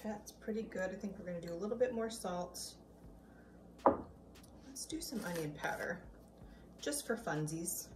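A young woman talks her viewers through a recipe in an easygoing, chatty way, tasting as she goes. Her voice sits a bit back from the camera in an echoey home kitchen, with clear background noise. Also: fairly low pitch, expressive, measured pace, pauses often, recorded from a distance. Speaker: cooking vlogger. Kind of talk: casual cooking demo.

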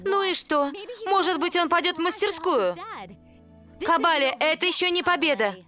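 An actress voicing a gentle, persuasive teenage girl angel in a cartoon dub. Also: extremely high pitch, lively intonation, normal speed, music in the background. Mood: pleading.

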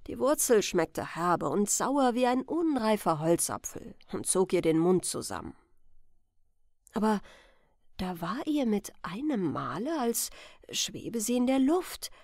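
Female bedtime storyteller: gentle, slow delivery, animated intonation, in a dry recording. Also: mid-range voice, dry recording. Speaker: storyteller, channel host. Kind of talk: bedtime fairy-tale narration.

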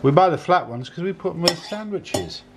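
A man in his sixties giving a casual, unhurried cooking demonstration in a home kitchen, often repeating his phrases as he goes. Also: fairly high pitch, lively intonation. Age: 60s. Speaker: home cook, presenter. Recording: clattering dishes, clicks, kitchen noise.

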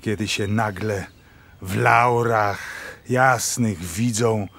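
An actor playing a jovial, tactless count in a theatrical verse radio drama, mocking and pompous. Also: male voice, fairly low pitch, fairly even intonation, slow pace, gravelly, fairly bright tone, exaggerated voice. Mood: patronizing. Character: jovial, teasing, tactless old-world count.

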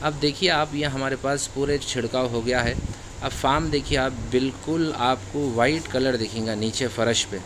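Farmer-host, friendly explainer, mid pitch, phone audio in a shed with light hum. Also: male voice, fairly even intonation, moderate pace. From Hyderabad, India. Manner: friendly instructional talk with religious greetings. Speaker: goat farmer and channel host. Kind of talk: casual how-to vlog narration. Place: indoor goat shed, off camera.

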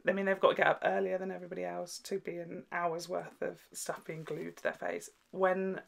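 A man's voice: high voice, flat delivery, a little fast, vocal fry, a bit bright. A young British man chatting casually as a reaction show host, enthusiastic, informal and sweary. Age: young adult. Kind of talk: casual chat. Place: small indoor room at home with bookshelves.

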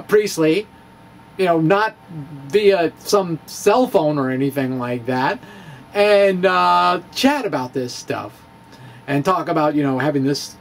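A middle-aged man reading his blog aloud dramatically into a close microphone, with informal storytelling asides. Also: high-pitched. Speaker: physics blogger. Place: small indoor room with bookshelves. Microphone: handheld-style microphone on a stand, close in front. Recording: clear background room noise.

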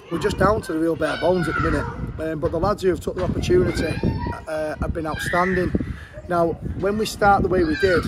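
A middle-aged football manager talks frankly and at length after a match. His voice sits a little high. He is recorded outdoors beside the pitch, with clear wind and traffic noise behind him. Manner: frank, chatty, fast-flowing. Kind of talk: post-match interview.

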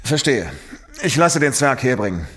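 A man playing a brisk, authoritative police commissioner in a radio drama, curt and official. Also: medium pitch, big pitch swings, fairly bright tone, faint music behind. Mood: brisk, resolved.

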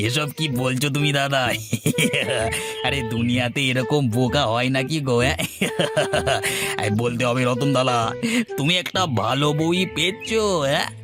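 A man's voice: mid-range voice, takes it slowly, close to an everyday voice. A man playing a teasing, amused village friend in a comedy cartoon. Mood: mocking, amused. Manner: teasing, chuckling. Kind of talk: Bengali comedy cartoon dialogue. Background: faint music.

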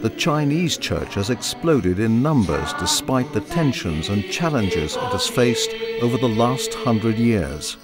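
A man's voice: on the low side, big pitch swings, measured pace, few pauses. A man reading scripted documentary narration. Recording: music bed under the voice. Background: loud music.

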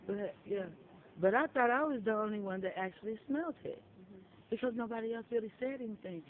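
A woman's voice: a little high, brisk. A woman, a shelter resident, telling a story in casual chat, blunt and profane, acting out the dialogue she quotes, recorded on a phone outdoors. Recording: tinny phone-like audio, faint noise.

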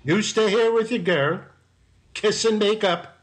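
A man's voice: high-pitched, a little slow, a little hiss or hum. A man playing a kindly, paternal policeman in an old-time radio sitcom. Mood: soothing, paternal.

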